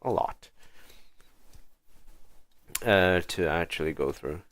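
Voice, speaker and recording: Deep-voiced, unhurried male game streamer. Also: fairly bright tone, no echo. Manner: halting, thinking aloud in short phrases. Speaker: game livestreamer. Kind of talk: casual live gameplay commentary.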